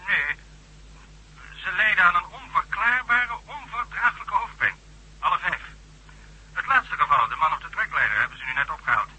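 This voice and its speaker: Husky, sharp-edged contractor. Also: male voice, sits high, lively intonation, full character voice, poor audio. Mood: grave, formal. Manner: formal, measured, serious. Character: businesslike, sober building contractor, bringing bad news. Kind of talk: radio drama acting.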